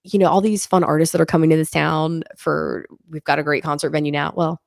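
A woman's voice: low-pitched, little pitch movement, brisk, creaky, no echo, studio quality. A woman hosting a podcast in casual chat, nasal from a cold, bubbly and laughing often. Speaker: podcast host. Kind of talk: casual conversational interview.

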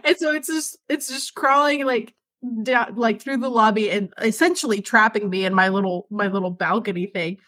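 A woman's voice: mid-range voice, normal speed, dry recording. A young woman from Venezuela, co-hosting a podcast, telling a story with lots of laughter and casual swearing. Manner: animated storyteller, laughs often, swears freely.

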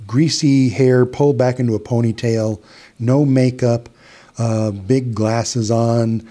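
A man's voice: medium pitch, warm tone. A middle-aged man with an Upper Midwest American accent, a hypnotist telling a long story in casual interview chat, with restarts and quoted dialogue. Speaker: hypnotist guest.

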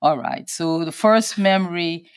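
A woman in her sixties from Guyana and Trinidad, a guest speaking in a hesitant, reflective way, pausing often as she recalls. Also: on the low side, expressive, dry recording, studio quality. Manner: hesitant, reflective, many pauses and fillers. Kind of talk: personal interview.

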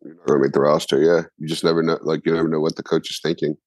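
Very deep-voiced American man, casual and dry. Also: little pitch movement, very fast, no room sound. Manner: informal, colloquial. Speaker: podcast co-host and soccer coach. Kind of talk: casual sports discussion.

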